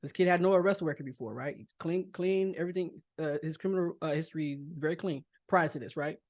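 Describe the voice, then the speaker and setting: A man co-hosts a relaxed conversation. He steps in to explain background in an even, informative way and readily agrees with his partner. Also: a little high, brisk. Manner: explanatory, adds context, affirming interjections. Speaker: podcast co-host. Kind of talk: casual chat.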